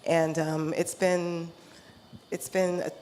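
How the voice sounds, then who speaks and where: A woman from New York speaking as a panelist in a casual, halting, informal way. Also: on the low side, flat delivery, darkish tone, a little hiss or hum. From New York, United States. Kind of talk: casual anecdotal panel chat. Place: live panel event in a room. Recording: live room with audible crowd.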